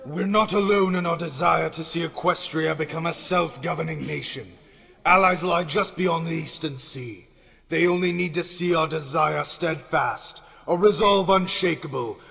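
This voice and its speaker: A man's voice: fairly high pitch, a little slow, creaky, pushed and bright, heavily acted. An actor playing a male pony orator in a radio drama, delivering impassioned, rhetorical political speech against a tyrant ruler. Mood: rousing, defiant. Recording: echoing hall with long reverb.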